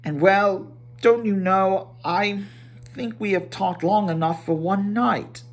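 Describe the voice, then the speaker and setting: A man's voice: sits high, takes it slowly, voice pushed up. A man voicing a timid, polite little hobbit in a storybook reading, fussy and hesitant, rambling courteously. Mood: flustered, polite.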